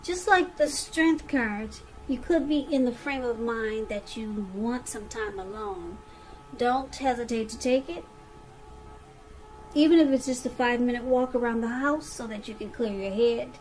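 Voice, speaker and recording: A woman's voice: on the high side, a little slow, a little mellow, poor audio. A woman giving a conversational tarot reading straight to the listener, repeating phrases for emphasis. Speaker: tarot reader.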